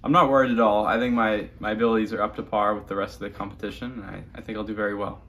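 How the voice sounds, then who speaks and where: A man's voice: on the low side, little pitch movement, very fast, lo-fi sound, heavy background noise, lightly acted. A teenage boy playing a boastful young male chef in a student comedy sketch. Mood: cocky. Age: teens. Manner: cocky, self-assured. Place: home kitchen indoors.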